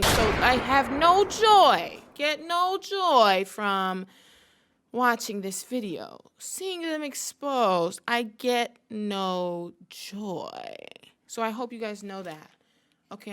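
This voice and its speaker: A woman's voice: fairly high pitch, lively intonation, takes it slowly, fairly bright tone. A woman giving playful reaction commentary to camera, mock-serious and theatrical, hammering phrases through repetition. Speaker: sports fan reaction video host.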